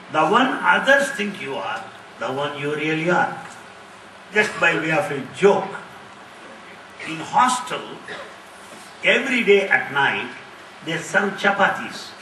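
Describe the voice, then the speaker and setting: Animated man lecturing in an echoey hall. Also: high-pitched. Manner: animated, repeats key phrases, laughs often. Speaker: spiritual speaker answering audience questions. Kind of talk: lively lecture with jokes. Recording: echoey hall, distant mic, faint hiss.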